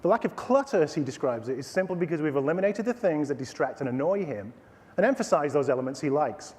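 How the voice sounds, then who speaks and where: A man in his forties with a Texan accent, pitching a product from a conference stage with a lively, sing-song voice that rises and falls a lot. He sounds a little high for a man, and his voice rings out through a large hall with a long echo. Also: quick pace. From Texas, USA. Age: 40s. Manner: animated, showman-like pitch with playful asides. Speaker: company CEO presenting a product. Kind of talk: staged product demo presentation.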